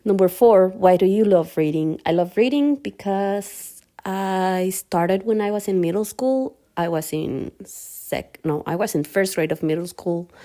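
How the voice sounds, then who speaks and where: A young woman from Mexico with a Mexican Spanish accent, a native Spanish speaker, introducing herself in a casual book vlog and speaking slowly and carefully, with hesitant restarts. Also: fairly low pitch, dark tone. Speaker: new book vlogger. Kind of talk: casual first-video introduction.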